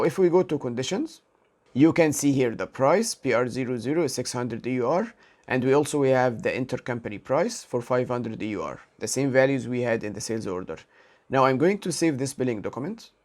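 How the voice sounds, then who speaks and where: A man with a mid-pitched voice narrates a software tutorial as an instructor. He works through each step methodically, explaining what it means and reading codes out one character at a time. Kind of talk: step-by-step screen demo narration.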